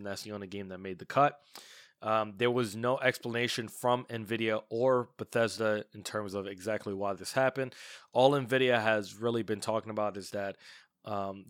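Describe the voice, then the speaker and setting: A man's voice: fairly low pitch, a bit bright, dry recording, studio quality. A man with a congested, stuffy voice from a cold, hosting a casual gaming podcast and talking with lots of fillers and restarts. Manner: chatty, many fillers and restarts. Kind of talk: casual solo podcast commentary.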